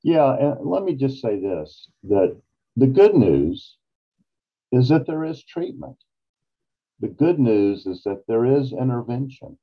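A man in his sixties explaining things as an expert guest in a measured, emphatic way on a video call. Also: medium pitch, big pitch swings, husky. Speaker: psychologist interview guest. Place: small office, indoors. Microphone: video call computer microphone. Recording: dull, lo-fi video-call audio.

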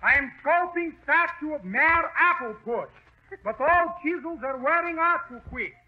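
An actor playing a genial Russian immigrant sculptor with a Russian accent and broken English in a 1930s radio comedy. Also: male voice, very high voice, lively intonation, unhurried. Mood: complaining. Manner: broken English, mangled words. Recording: muffled 1930s radio recording.

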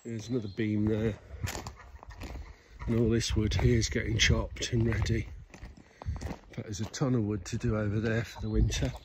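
A man giving a relaxed, rambling outdoor tour of his smallholding, filmed on a handheld phone. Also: lowish voice, normal speed, long pauses, noisy background. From Scotland. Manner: relaxed, rambling, with restarts and fillers. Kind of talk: casual walking tour chat. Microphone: handheld phone camera.

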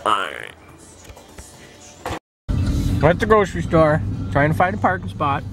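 A man's voice: on the high side, huge pitch swings, fast, vocal fry, over loud music. A middle-aged man filming his family, teasing and joking in casual chat. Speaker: father filming family vlog. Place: home kitchen, car and grocery store. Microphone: handheld camera. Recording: noisy handheld camera audio.

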